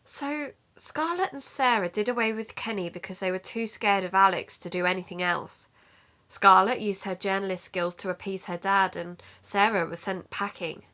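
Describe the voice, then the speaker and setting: A woman's voice: mid-range voice, moderate pace, pushed and bright, natural voice. An actress in an audio drama playing an earnest, matter-of-fact woman who hosts an investigative podcast. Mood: thoughtful.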